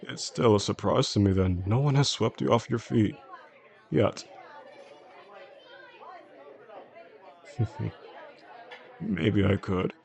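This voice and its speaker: Charming boss on a dinner date, played by a male actor: low, creaky voice, soft-spoken, flirtatious, intimate audio drama. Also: slow. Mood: tender, flirtatious. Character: charming attentive boss courting his employee, quietly possessive man. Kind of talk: intimate audio roleplay, addressing the listener. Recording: faint restaurant crowd behind the voice.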